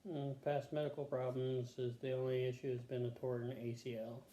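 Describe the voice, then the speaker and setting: A man answering health questions as a patient, casual and matter-of-fact, pausing to think in short answers. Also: mid-range voice, flat delivery, warm tone. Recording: echoey room with faint background noise.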